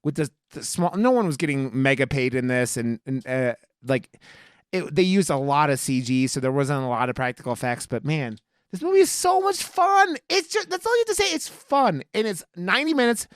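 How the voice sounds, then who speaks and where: A man chatting casually as a podcast co-host, rambling and excitable, with free swearing. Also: a little high, a little fast, no echo, studio quality. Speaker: podcast co-host reviewing horror movies. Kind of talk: casual chat.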